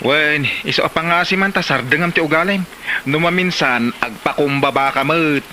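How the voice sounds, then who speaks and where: A man's voice: on the high side, normal speed, bright, forceful tone. A man playing an apologetic, conciliatory village husband in a comedy drama, with an Ilocano accent. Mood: placating. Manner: pleading, conciliatory. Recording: slight background noise.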